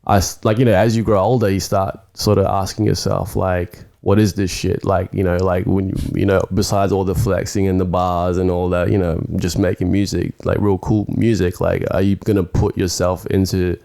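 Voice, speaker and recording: Deep gravelly young man. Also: flat delivery, moderate pace, few pauses, dark tone. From New Zealand. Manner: reflective, rambling with many fillers. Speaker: rapper guest. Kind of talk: casual podcast chat.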